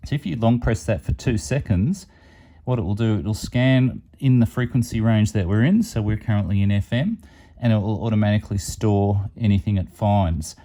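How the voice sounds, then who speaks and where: Low, mellow man demonstrating. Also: normal speed. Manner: unscripted, step-by-step explaining. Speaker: product reviewer on a radio hobby channel. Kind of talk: informal hands-on product demonstration.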